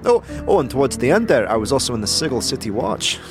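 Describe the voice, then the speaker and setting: A man's voice: medium pitch, very animated, fast, voice pushed up, lightly acted. A man voicing a jovial, weary old war veteran in a fantasy audio drama, rambling in a folksy way. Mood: jovial, reminiscing. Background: prominent background music.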